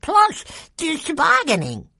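A woman's voice: on the high side, wildly swooping pitch, normal speed, bright, forceful tone, breathy edge, dry recording, clean studio sound, voice pushed up, full character voice. A woman voicing an eager, cheeky little boy in a children's audiobook, piping and exclaiming. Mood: eager, playful.

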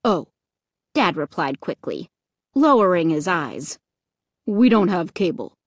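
A suspicious, easily riled dad from a children's story, acted by a woman narrator. The manner is blunt and demanding, with lively pitch movement and a dry, close sound. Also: slow pace, no echo, heavily acted. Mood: sheepish. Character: indignant, suspicious father, easily deflated.

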